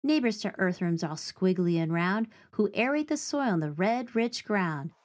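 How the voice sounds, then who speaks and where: A woman's voice: mid-range voice, animated, moderate pace, background noise. Woman reading a children's book aloud. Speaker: teaching artist reading a picture book aloud. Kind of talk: children's read-aloud of a rhyming picture book and nature facts.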